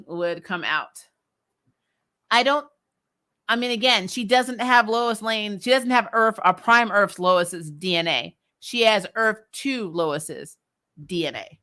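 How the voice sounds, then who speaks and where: A woman hosting a casual fan recap livestream, animated and giggly, giving emphatic opinions and repeating herself for effect. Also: mid-range voice. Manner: giggly, amused. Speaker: host of a fan recap show. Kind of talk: casual livestream chat, reading and answering viewer comments.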